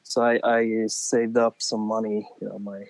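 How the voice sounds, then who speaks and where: A man, telling lively stories in a casual chat and acting out his younger self, heard over a phone call. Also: lowish voice, a little slow, dry recording. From Sweden. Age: 40s. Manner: animated storyteller, acts out his younger self. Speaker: guest musician.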